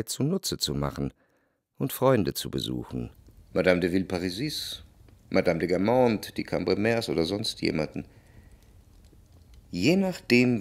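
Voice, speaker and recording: An actor playing a refined, jealous, brooding man who narrates his memories in a measured, articulate radio play performance. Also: lowish voice, gravelly, no room sound, studio quality, exaggerated voice. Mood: confiding.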